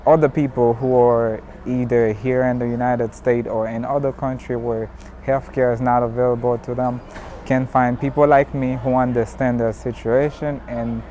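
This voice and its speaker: Male student from Haiti, mid-pitched, personal testimonial delivery, faint street noise behind. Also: level tone, normal speed, mellow. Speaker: physician assistant student.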